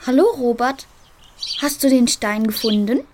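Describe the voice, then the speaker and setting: Woman as an impatient, high-voiced little cat. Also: moderate pace, background noise, natural voice. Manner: curt, scornful questioning. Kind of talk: German children's radio play acting.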